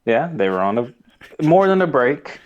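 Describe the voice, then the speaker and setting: A man's voice: medium pitch, big pitch swings, normal speed, highs cut off. A man co-hosting a podcast in casual chat about a cartoon, often acting out bits of imagined dialogue. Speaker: podcast co-host.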